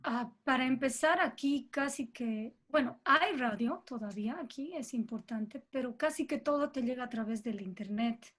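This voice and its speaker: A woman with a Bolivian Spanish accent and a fairly high voice talks as an interview guest. Her delivery is casual and conversational, working ideas out aloud and punctuating them with small tag questions. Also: moderate pace, hardly any pauses, a bit bright.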